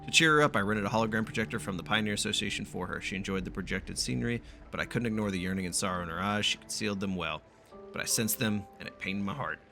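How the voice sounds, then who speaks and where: A man's voice: lowish voice, fast, creaky, bright, forceful tone, soft background music. A man streaming a game, reading its text aloud in a casual, profane way and stumbling now and then. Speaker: gaming streamer doing a playthrough.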